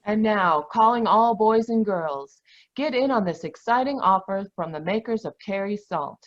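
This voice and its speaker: A woman announcer reading an old-fashioned radio advertisement, pitching eagerly to children. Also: animated, moderate pace, muffled recording. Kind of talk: retro radio ad read. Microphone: home mic over video call.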